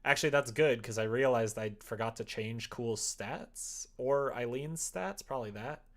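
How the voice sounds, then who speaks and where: A man's voice: mid-range voice, normal speed, fairly bright tone. A man streaming casually as he codes, thinking aloud and muttering to himself in a wry way. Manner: wry, muttering to self while working. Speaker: live streamer developing a visual novel. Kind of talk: casual coding livestream chat, thinking aloud.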